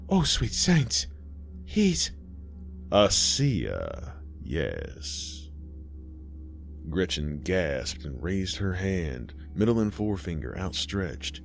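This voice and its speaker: A man narrating a horror story and talking to his listener in a folksy, unhurried way. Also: deep voice. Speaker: storyteller host.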